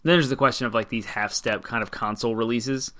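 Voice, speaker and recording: A man's voice: medium pitch, steady tone, fast talker, fairly bright tone. A man talking casually as a co-host in a podcast conversation.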